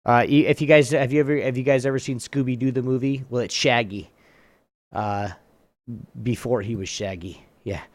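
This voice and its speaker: A man's voice: mid-range voice, steady tone, normal speed, no room sound. Casual man hosting trivia, close dry sound. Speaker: host of a trivia game on a live show. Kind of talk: casual chat, reading trivia aloud.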